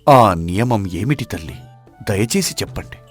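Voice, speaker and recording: A man's voice: on the low side, lively intonation, a little slow, soft background music. A man acting an earnest, righteous king in a folk tale, speaking formally and respectfully. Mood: pleading, troubled. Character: righteous, earnest king devoted to his people.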